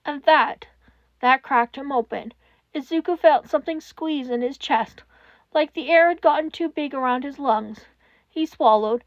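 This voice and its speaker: A woman reading a fan fiction story aloud in expressive scripted narration. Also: high-pitched, measured pace, dry recording, muffled recording.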